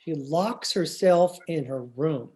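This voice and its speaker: A man's voice: on the high side, slow, a little mellow. A man playing an earnest, worried husband, hesitant and exasperated, in old-time radio comedy style.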